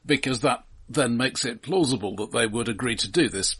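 Man, husky voice, mid pitch, podcast co-host, measured, precise, dry, casual explaining. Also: a bit bright.

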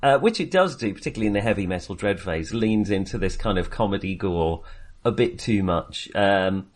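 Male podcast co-host, low voice, casual reviewing style, halting and self-correcting as he thinks aloud. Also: expressive. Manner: hesitant, self-correcting, with frequent repeated starts. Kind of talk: casual film review chat.

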